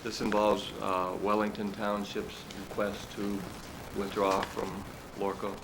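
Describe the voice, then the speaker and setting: Man, county official, flat monotone, hesitant, background noise. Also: lowish voice, measured pace. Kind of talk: meeting discussion.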